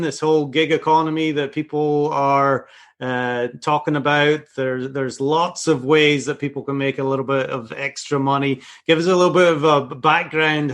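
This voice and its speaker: A man's voice: a little high, a bit bright. A man with a Scottish accent hosting an interview show in an easygoing, casual way, with the odd filler. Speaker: podcast host.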